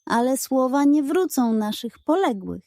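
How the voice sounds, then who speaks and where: A woman voicing a stern, suspicious old beast war chief in a children's audio story, gruff and commanding. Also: lively intonation, unhurried, a little mellow, no echo, clean studio sound. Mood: grudging, skeptical. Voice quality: low, hoarse.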